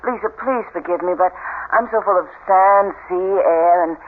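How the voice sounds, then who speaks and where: An actress playing an anxious young wife, loving but fearful and hesitant, in a 1970s radio drama. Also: female voice, on the low side, normal speed, natural voice. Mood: weary, apologetic. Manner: hesitant, breaking up phrases. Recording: dull, noisy 1970s radio recording.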